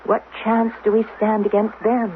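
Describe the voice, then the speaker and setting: A woman's voice: fairly high pitch, flat delivery, normal speed, phone-quality sound, natural voice. An actress playing a shrewd, probing rebel wife guarding her son, with direct, coaxing delivery in a radio drama. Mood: grimly worried. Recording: noisy, band-limited 1970s radio broadcast.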